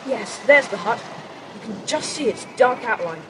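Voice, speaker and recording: An actor playing a sensible, confident schoolboy who leads young adventurers in a children's audiobook drama, speaking briskly. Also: mid-range voice, lively intonation, pushed and bright, a little hiss or hum, rough recording. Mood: brisk, intrigued.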